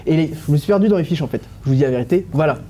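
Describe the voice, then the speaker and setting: A man's voice: a little high, expressive, racing, warm tone, a little hiss or hum. A young man in a studio presenting a celebrity news column, playful and often laughing, sometimes losing his place in his notes. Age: young adult. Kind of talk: playful scripted TV column with banter. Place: TV studio panel set.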